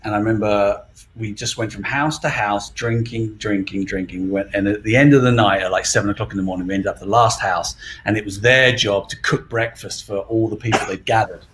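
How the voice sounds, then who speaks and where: A man's voice: lowish voice, fairly even intonation, brisk, fairly bright tone, a little hiss or hum. A middle-aged man from England chatting casually as a guest on a live stream, rambling and laughing easily.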